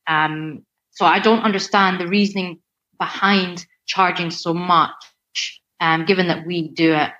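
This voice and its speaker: A woman's voice: lowish voice, fairly even intonation, unhurried, sharp tone. A Scottish woman chatting casually and filling her talk with "like" and "right?". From Scotland. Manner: chatty, many fillers like "like" and "right?". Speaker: personal trainer. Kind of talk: casual chat. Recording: dull lo-fi sound.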